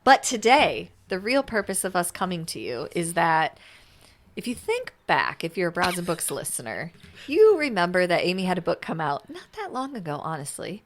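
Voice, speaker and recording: A woman's voice: on the low side, huge pitch swings, normal speed. A woman co-hosting a podcast in casual, informal, bantering chat. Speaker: podcast co-host.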